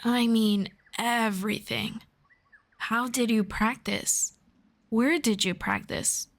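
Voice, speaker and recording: Woman playing a young forest elf, higher-pitched, very slow pace, gentle, teasing, fantasy ASMR roleplay. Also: fairly even intonation, gravelly. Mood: concerned, curious. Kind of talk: roleplay audio drama, ASMR.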